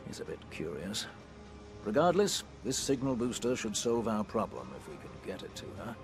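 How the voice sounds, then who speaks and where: An actor playing a courteous, wry older militia sniper with a British accent, speaking in droll, old-fashioned phrases for a video game. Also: male voice, fairly low pitch, sing-song, normal speed, vocal fry, over music. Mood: wry, teasing. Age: middle-aged. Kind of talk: video game character acting.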